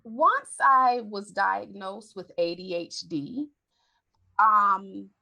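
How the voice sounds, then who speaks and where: Gravelly, bright-voiced woman in her forties. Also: mid-range voice, lively intonation, drawn-out words, dull audio. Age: 40s. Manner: candid, chatty, self-correcting. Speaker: podcast host. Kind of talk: casual conversation.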